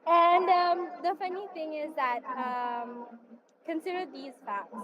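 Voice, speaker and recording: A woman's voice: sits high, slow pace. A woman from Italy whose first language is Italian, speaking English with an Italian accent and telling a story in chatty, laughing radio talk. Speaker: radio chat show co-host. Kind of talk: casual chat. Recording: muffled audio with crowd noise.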